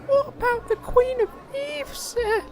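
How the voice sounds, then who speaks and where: A woman's voice: extremely high pitch, measured pace. A woman playing a meek, loyal, hesitant boy squire in a comedic fantasy audio drama. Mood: meek, earnest. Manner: polite, hesitant, deferential.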